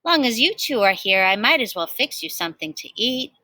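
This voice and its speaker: Woman reading as cheerful folksy diner cook, singsong and bright. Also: a little high, big pitch swings, fairly quick. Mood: crowing, cheerful. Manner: singsong, folksy dropped endings. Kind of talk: storybook character voice.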